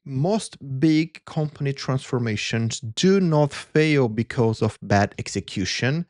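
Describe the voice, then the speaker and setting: A man's voice: medium pitch, expressive, slow pace, darkish tone, no echo, studio quality. A man from Brazil with a Brazilian Portuguese accent, a Portuguese native speaker hosting a solo show, talking in an earnest, reflective way and searching for words in his second language. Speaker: podcast host.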